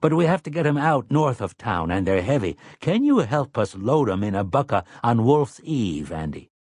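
A man's voice: mid-range voice, big pitch swings, moderate pace, a little mellow, no echo, studio quality. A man voicing an earnest, plain-spoken farming man with a blunt manner in an audiobook.

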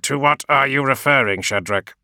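A dryly courteous adviser who weighs every word and keeps a mock-sober tone, played by a male narrator. The sound is bright, close and dry, like an audiobook booth. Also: mid-range voice, dry recording, pitched above the performer's own voice. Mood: wry. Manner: measured, mockingly sober, deliberate.

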